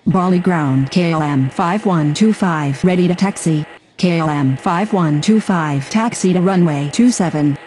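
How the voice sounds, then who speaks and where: A woman's voice: lowish voice, a little slow, a little mellow, a little hiss or hum, lightly acted. A woman voicing a formal, procedural airline pilot or controller, using scripted radio phraseology in a game.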